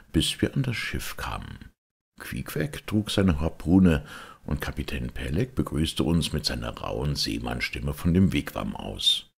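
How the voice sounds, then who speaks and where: A man narrates a literary audiobook in an extremely deep voice. It is husky and gravelly. He reads expressively.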